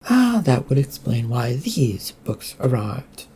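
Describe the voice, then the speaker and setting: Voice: medium pitch, big pitch swings, slow, dark tone, slight background noise. A storyteller voicing a composed, matter-of-fact woman teacher with a dry, unruffled manner. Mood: mildly puzzled.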